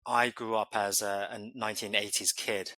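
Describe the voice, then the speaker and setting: A man's voice: lowish voice, little pitch movement, normal speed, pushed and bright, no echo. A man in his forties from England, answering interview questions thoughtfully with many fillers and restarts. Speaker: podcast guest.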